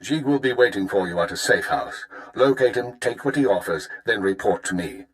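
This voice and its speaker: Male actor, stern intelligence chief, video game briefing, lowish voice, measured, formal. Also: expressive, lightly acted. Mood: stern, instructive. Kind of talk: scripted video game dialogue.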